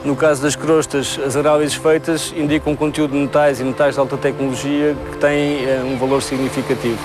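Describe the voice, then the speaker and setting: A man with a European Portuguese accent giving halting, technical explanations as an expert in an interview. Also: mid-range voice, level tone, over music, rough recording. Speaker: marine survey expert being interviewed.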